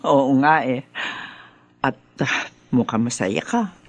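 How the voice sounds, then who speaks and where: A woman's voice: a bass voice, lively intonation, slow, full character voice. A woman acting a chatty, teasing aunt in a Filipino radio drama, curious and playful.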